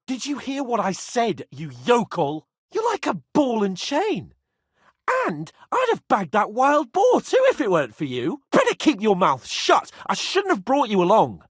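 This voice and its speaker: A man's voice: very high voice, fairly bright tone, dry recording, clean studio sound, full character voice. An actor playing a haughty, hot-tempered young medieval nobleman in a video game, with a petulant, exclamatory manner. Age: young adult.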